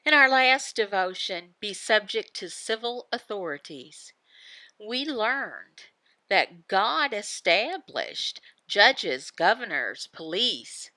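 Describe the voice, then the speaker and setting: A woman teaches a devotional at a slow, deliberate pace. Her voice is bright and forceful with a gravelly creak, and the sound is close and dry. She speaks in short, halting phrases, posing questions and answering them herself. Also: medium pitch, very animated, dry recording. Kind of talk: devotional teaching voicing a signed sermon.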